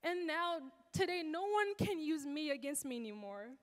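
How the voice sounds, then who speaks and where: Teen girl, high bright voice, earnest rhythmic spoken word, reverberant church hall. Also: big pitch swings, normal speed. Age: teens. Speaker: teen performer. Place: church stage, large hall. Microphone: handheld mic.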